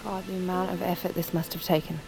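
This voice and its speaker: A woman's voice: on the low side, level tone, fast, vocal fry, low-quality recording. An actress playing an earnest, impressed woman scientist in an audio drama. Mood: awed.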